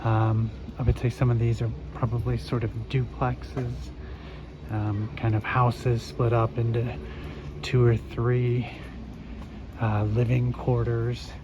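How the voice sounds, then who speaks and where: Hoarse man rambling on a street walk. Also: medium pitch, flat delivery. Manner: rambling, unhurried, many fillers. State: hoarse, recovering from illness. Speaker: vlogger. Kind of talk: walking tour commentary. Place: residential street outdoors. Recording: dull outdoor phone audio with traffic. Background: street traffic.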